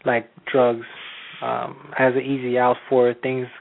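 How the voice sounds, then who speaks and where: A man from Haiti asking interview questions in a measured, hesitant way with fillers. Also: medium pitch, completely flat delivery, gravelly. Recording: tinny phone-like sound.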